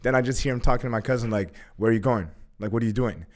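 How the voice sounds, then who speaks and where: A young man telling a story in a casual chat, animated, full of "like" fillers and swearing. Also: mid-range voice, very fast. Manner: animated, heavy use of filler "like", swears freely. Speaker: guest.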